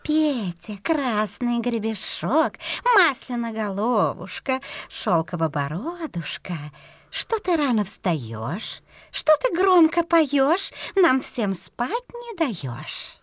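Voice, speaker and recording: A woman's voice: a little high, big pitch swings, slow, dry recording. A woman voicing a sly female fox in a children's audio fairy tale, coaxing in a sing-song rhyme.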